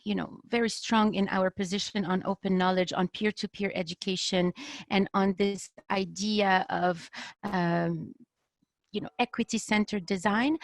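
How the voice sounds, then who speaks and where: Halting, earnest woman speaking, close and dry. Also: mid-range voice, no room sound. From Lebanon. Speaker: panel speaker, design activist. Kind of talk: unscripted panel talk on video call. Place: remote video call.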